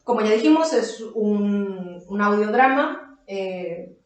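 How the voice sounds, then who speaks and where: Woman, podcast co-host, casual discussion, medium pitch, heavy room echo. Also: animated, slow. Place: echoey makeshift home studio, indoors. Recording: very echoey improvised room.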